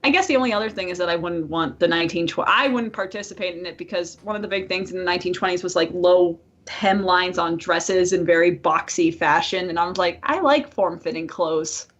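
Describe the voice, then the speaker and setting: A woman's voice: on the low side, a little fast, hardly any pauses, fairly bright tone, lo-fi sound. A woman co-hosting a podcast in casual chat, talking in a chatty, informal way and swearing freely. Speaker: podcast co-host.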